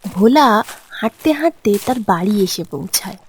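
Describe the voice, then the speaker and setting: A woman's voice: medium pitch, expressive, moderate pace, darkish tone, a little hiss or hum. A woman giving scripted narration of a fairy tale as storyteller. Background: background music.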